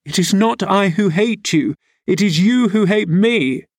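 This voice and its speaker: A man's voice: medium pitch, animated, unhurried, breathy edge, no room sound, clean studio sound. A man voicing a willful young woman who pleads insistently with her cousin in an audiobook novel. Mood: tearful, hurt.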